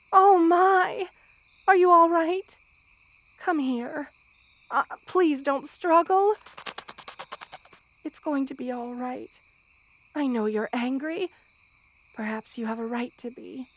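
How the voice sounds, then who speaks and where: A woman's voice: sits high, slow pace, long pauses. A woman playing a kind, protective spider queen with a tender, formal manner in an ASMR roleplay. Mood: alarmed, pleading, then gently reasoning. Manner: tender, doting, softly commanding, formal wording. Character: motherly female spider queen, kind, protective, regal monster. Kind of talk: ASMR roleplay audio drama.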